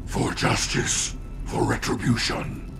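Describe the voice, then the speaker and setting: An actor playing a grim, vengeful undead spirit in a video game, growling solemn, ominous pronouncements in a gravelly rasp. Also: male voice, takes it slowly, over loud music, close to an everyday voice. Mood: grim, accusing.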